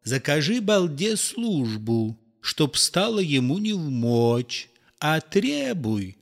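A man voicing a cunning, scheming priest's wife in a fairy tale, speaking in a crafty, deliberate manner. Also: mid-range voice.

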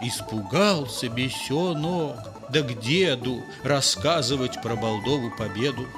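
A male narrator reads a fairy tale in verse with theatrical flair. He speaks very slowly, at a medium pitch, with faint music beneath. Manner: theatrical, lively storytelling. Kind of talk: expressive fairy tale reading.

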